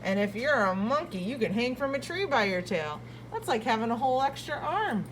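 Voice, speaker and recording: A woman reading aloud to young children like a preschool teacher, expressive and playful, drawing out key words and adding asides and questions. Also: a little high, fairly quick, slight background noise, rough recording.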